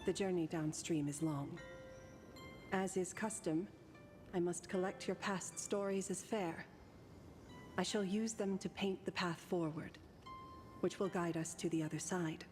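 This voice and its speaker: Woman acting serene, low-voiced ferrywoman in long reverb. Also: unhurried, pauses often, darkish tone, natural voice. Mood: solemn, measured. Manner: formal, poised, archaic phrasing. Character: serene, mysterious ferrywoman guiding travelers to the afterlife. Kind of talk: video game voice acting. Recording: long reverb, game music behind. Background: game music.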